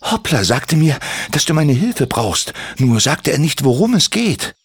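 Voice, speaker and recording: A man voicing a small, kind male kobold healer in a children's story, eager and gently questioning. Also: fairly high pitch, sing-song, measured pace, husky, no room sound, voice pushed up, full character voice. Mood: caring.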